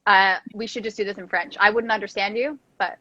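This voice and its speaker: A woman hosting a casual interview chat, playful with quick reactions. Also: medium pitch, fairly even intonation, highs cut off, a loud crowd. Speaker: host, interviewer. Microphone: video call.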